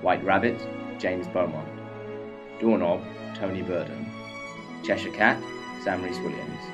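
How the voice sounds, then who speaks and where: A man's voice: sits low, unhurried. A man reading scripted credits as the announcer of an audio drama. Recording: loud music under voice.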